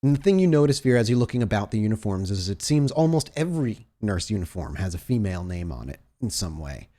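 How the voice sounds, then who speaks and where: A man working as game master, narrating a tabletop roleplaying scene in a deliberate, descriptive and dramatic way. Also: fairly low pitch, sing-song, dark tone.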